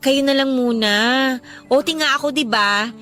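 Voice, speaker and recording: A woman's voice: sits high, moderate pace, sharp tone, soft background music. A Filipino woman playing a busy young office worker in a Tagalog radio romance drama, casual, apologetic and guarded. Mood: defensive, light.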